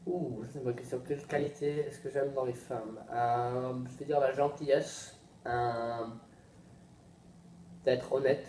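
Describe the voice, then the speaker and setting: A man's voice: medium pitch, steady tone, a little slow, mellow, far from the mic, slight background noise. Sixteen-year-old boy from Sweden, heard from afar. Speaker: student. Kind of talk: casual self-introduction. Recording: roomy, off-mic.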